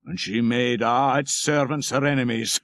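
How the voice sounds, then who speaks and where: A man's voice: mid-range voice, steady tone, moderate pace, fairly bright tone, lightly acted. A man voicing a dour, moralizing old Scottish shepherd in an audiobook story, preachy and emphatic. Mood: sanctimonious.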